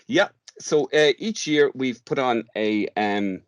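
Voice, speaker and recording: A man's voice: medium pitch, animated, takes it slowly, no room sound. A man, a theatre director, explaining his work as an interview guest, hesitantly, thinking aloud in fragments.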